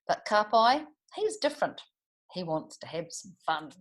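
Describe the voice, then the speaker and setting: A woman's voice: mid-range voice, very animated, measured pace. A woman with a New Zealand accent reads a picture book to children expressively, adding chatty asides and questions for her listeners. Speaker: storytime reader for children.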